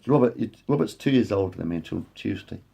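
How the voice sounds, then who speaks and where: A man's voice: fairly low pitch, animated, fast, vocal fry, mellow, husky. A man in his late forties rambling to his phone camera, repeating and correcting himself as he wanders between thoughts. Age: 40s. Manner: repetitive, meandering, self-correcting. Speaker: vlogger. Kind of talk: rambling casual monologue to camera. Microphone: phone front camera.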